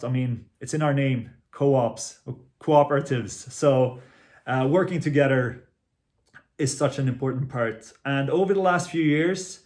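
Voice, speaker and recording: A young man in his twenties from Finland talks through a prepared introduction of himself. His voice sits at an ordinary middle pitch, and he speaks in an earnest, measured way, correcting a word now and then. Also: darkish tone. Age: 20s. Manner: earnest, measured, with small restarts. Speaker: credit union marketing executive. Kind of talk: prepared self-introduction talk.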